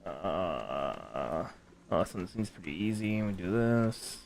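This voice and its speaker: A man's voice: sits low, expressive, slow, gravelly, slight background noise. A man giving casual, muttered playthrough commentary and swearing freely as he streams a game. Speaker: gaming streamer reacting to a game.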